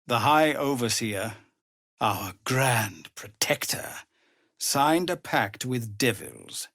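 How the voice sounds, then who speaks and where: An actor playing a weary, honourable tiefling ex-paladin leading refugees in a fantasy game, husky and breathy, with grave, measured, formal delivery. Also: male voice, medium pitch, big pitch swings, a bit bright, no echo, clean studio sound, full character voice. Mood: remorseful, grave. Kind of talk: dramatic fantasy game voice acting.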